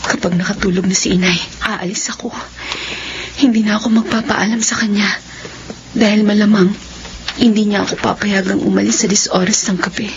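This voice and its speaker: A young Filipino woman acting in a horror radio drama as an anguished, cursed daughter tormented by hunger, speaking in a slow, halting, dramatic way. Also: medium pitch, natural voice. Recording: dull lo-fi radio audio, clear background noise.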